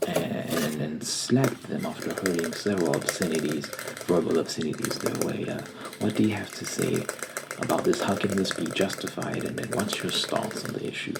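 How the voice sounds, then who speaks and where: A man playing a stern, formal TV news anchor in an ASMR roleplay, speaking softly and half-whispered with a stiff, stammering interviewer manner. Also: sits low, moderate pace, vocal fry, heavy background noise. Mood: formal, probing. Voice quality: soft-spoken, half-whispered.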